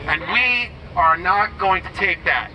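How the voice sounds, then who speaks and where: A man's voice: high-pitched, expressive, slow, rough recording. A man with a big voice emceeing an outdoor rally, calling out to the crowd and introducing speakers. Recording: loud crowd and street noise at an outdoor rally.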